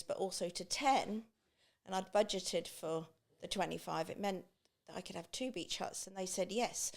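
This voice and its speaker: A middle-aged woman with an East Anglian English accent, chatting and telling personal stories, acting out remembered remarks as she goes. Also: medium pitch. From Great Yarmouth, England. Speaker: podcast guest, beach hut business owner.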